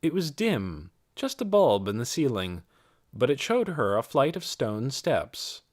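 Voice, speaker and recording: Male storybook narrator, scripted read, highly varied and expressive pitch. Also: measured pace. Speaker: audiobook narrator.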